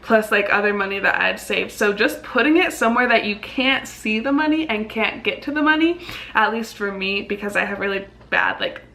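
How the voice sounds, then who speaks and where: A woman's voice: medium pitch, a little fast, sharp tone. A young woman from Mexico chatting casually in a vlog, reading out viewer questions and answering them, with lots of "like" fillers. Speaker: vlogger.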